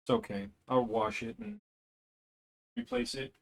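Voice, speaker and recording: A man with a somewhat deep voice chatting casually on a live stream at home, thinking aloud in a rambling, unhurried way into a desk microphone. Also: wildly swooping pitch, vocal fry.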